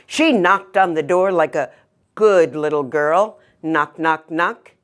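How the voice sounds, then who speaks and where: A woman's voice: deep voice, takes it slowly. A woman telling a fairy tale to children in a dramatic style, with emphatic repetition. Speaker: storyteller.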